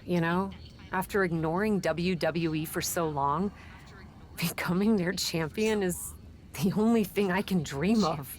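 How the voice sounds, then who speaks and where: An actress playing a young pro wrestler in a video game, offhand and breezy with a defensive edge. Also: female voice, lowish voice, unhurried, lightly acted. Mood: earnest. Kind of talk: casual video game cutscene dialogue.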